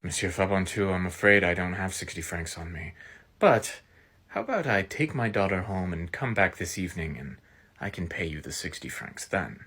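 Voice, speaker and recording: A voice actor playing a calm, kindly older gentleman who speaks softly and slowly. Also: low voice, slightly rough, voice pushed down, heavily acted.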